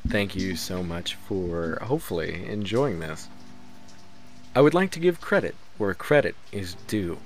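A man's voice: low-pitched, animated, measured pace, slight background noise. A man speaking as a show's creator, giving casual closing credits in an eager, self-deprecating way. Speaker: creator, writer and director.

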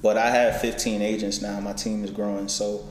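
A man's voice: on the low side, little pitch movement, normal speed. A man in his twenties, a real estate broker, chatting casually and laughing often in an interview. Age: 20s. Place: indoor office building. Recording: echoey room with faint noise.

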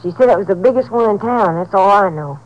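A woman's voice: medium pitch, lively intonation, fast, muffled recording, lightly acted. An actress playing a sullen, resentful, uneducated girl delinquent with a rural Southern accent, in 1950s radio drama style. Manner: folksy ungrammatical drawl. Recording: old 1950s radio recording.

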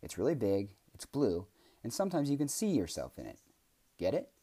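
A man's voice: on the low side, wildly swooping pitch, moderate pace, warm tone, husky, pitched above the performer's own voice, heavily acted. A man doing a friendly joking crow. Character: friendly male crow, a bit of a joker.

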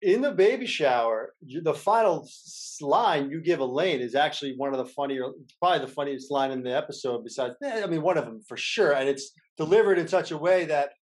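A man co-hosting an interview, chatting casually and halting as he explains his points. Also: a little high, lively intonation. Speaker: interviewer, podcast co-host. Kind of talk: casual fan conversation.

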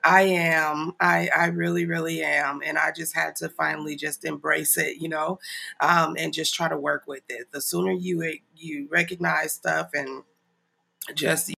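A man's voice: a little high, level tone, unhurried, gravelly, sharp tone. A man with a congested, nasal voice talks casually and informally to followers as the host of an online prayer ministry livestream. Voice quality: nasal, stuffy. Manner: informal, apologetic, chuckling asides. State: congested from a stuffy nose.

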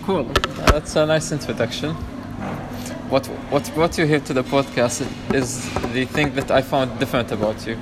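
A man's voice: medium pitch, steady tone, normal speed, very noisy. A man hosting a podcast in casual chat, joking and teasing his guest. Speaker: podcast host.

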